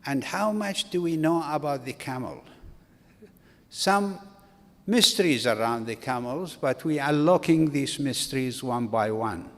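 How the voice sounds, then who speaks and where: A man in his sixties, originally from Iraq, giving a slow, halting academic talk at a conference. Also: mid-range voice, animated, fairly bright tone. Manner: slow, halting delivery with restarts. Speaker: conference speaker. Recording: long reverb of a conference hall.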